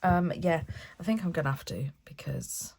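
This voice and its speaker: A woman's voice: low-pitched, moderate pace, vocal fry, darkish tone, a little hiss or hum. A woman hosting a craft vlog, chatting and thinking aloud as she works, a bit stuffy with a cold. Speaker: craft channel host. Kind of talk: casual crafting vlog talk.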